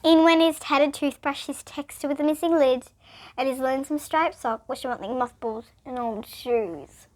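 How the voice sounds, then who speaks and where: A female reader, reading a children's picture book aloud in an expressive, emphatic way. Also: sits high, moderate pace.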